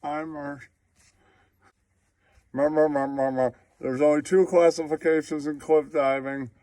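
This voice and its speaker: A man's voice: fairly high pitch, level tone, a little slow, dark tone, no echo, clean studio sound, natural voice. A man playing a smug hack comedian in a puppet comedy, babbling nonsense syllables between his catchphrases.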